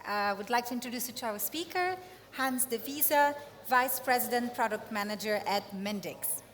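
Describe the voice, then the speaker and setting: Woman, moderator, high voice, reverberant hall, brief formal announcement. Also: normal speed, fairly bright tone. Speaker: session moderator. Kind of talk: announcing the next speaker. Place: conference venue. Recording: long reverb of a conference hall.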